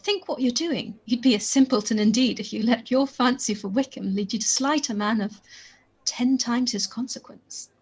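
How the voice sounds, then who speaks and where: Woman playing husky, sensible young gentlewoman. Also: on the high side, moderate pace. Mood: admonishing. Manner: earnest, admonishing. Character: sensible, practical young gentlewoman, cautioning confidante. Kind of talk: period drama live radio-play reading.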